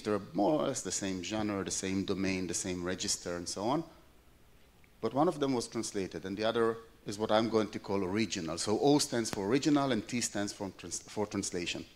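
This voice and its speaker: A man's voice: fairly low pitch, a little mellow. Hebrew-native middle-aged male academic, hesitant lecture delivery, many "um"s, hall mic. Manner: hesitant, many fillers and restarts. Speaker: plenary speaker. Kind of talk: conference lecture.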